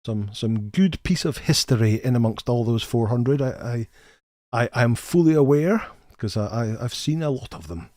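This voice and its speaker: A man's voice: medium pitch, lively intonation, moderate pace, mellow, no echo, studio quality. A middle-aged man hosting a casual live game stream, greeting viewers in a chatty, friendly way and often repeating the first words of a sentence. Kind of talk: casual live stream chat, reading chat names.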